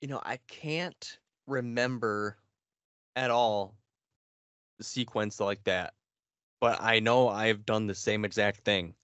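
A man's voice: lowish voice, steady tone, slow pace, long pauses, fairly bright tone, smooth, no echo. A man in his thirties chatting casually as co-host of a gaming podcast. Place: small home room. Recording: dull, lo-fi dry audio.

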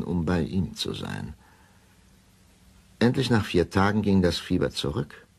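An actor playing a grave, obsessive doctor confessing in a brooding, deliberate radio drama monologue. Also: male voice, low voice, gravelly, breathy edge, heavily acted.

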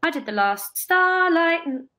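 A young woman, a guest telling emphatic, expressive stories over a video call from a bright room. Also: very high voice, a little slow. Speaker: guest, stage performer. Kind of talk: casual interview.

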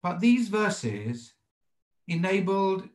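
A British man in his sixties, a rabbi giving a sermon in a measured, formal and deliberate way over a video call from a home study. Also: high voice, very animated, roomy sound. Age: 60s. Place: indoors, home study with bookshelves. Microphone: computer microphone on a video call.

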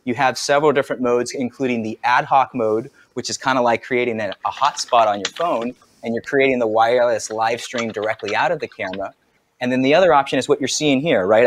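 Energetic man demoing a camera. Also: mid-range voice, slight background noise. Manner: energetic, conversational, demonstrating gear. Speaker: camera company trainer. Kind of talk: product demonstration. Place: home studio room.

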